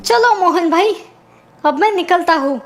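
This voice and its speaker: Very high voice, woman playing naive village boy, excitable, whiny, exclamatory, cartoon story, music behind. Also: a little slow. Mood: eager. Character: naive, excitable village son Bholu, easily fooled. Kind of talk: animated moral story acting.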